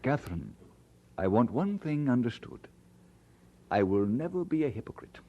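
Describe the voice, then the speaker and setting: An actor playing an earnest, playful and devoted young Scottish preacher in an audio drama, speaking expressively. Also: male voice, mid-range voice, big pitch swings, normal speed, highs cut off. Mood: earnest, firm. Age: young adult.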